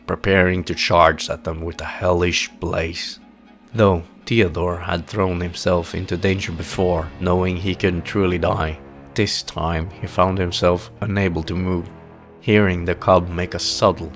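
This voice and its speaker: Casting: male narrator, very low voice, dramatic fantasy audio drama delivery over clear music. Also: a bit bright. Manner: dramatic storytelling.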